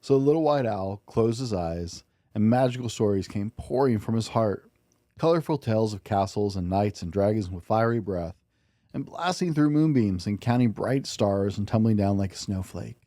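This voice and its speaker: A man reads a picture book aloud for small children. His voice is mellow and fairly low, with gentle expression suited to bedtime. The sound is dry and close, with very little room. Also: lively intonation, normal speed, no room sound. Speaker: podcast host and storybook reader.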